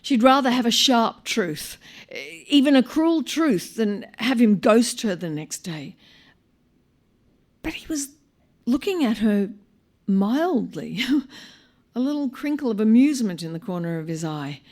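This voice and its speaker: An Australian woman reading a short story aloud live, in an expressive, wry manner with dramatic pauses. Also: fairly high pitch. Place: live on stage at a small venue.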